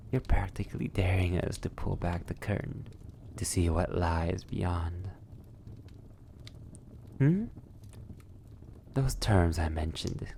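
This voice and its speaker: A man playing a suave, sadistic vampire lord in an intimate ASMR roleplay, formally polite, breathy and teasing. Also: sits low, a little mellow, slight background noise, heavily acted. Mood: seductive, admiring, then teasing, insistent.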